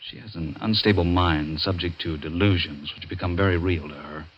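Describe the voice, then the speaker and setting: A stern male doctor in a vintage radio drama. His voice is very low and husky. He speaks firmly and deliberately, admonishing with cool, controlled authority. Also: dull audio. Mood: clinical. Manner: firm, measured, admonishing. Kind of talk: 1940s radio drama acting.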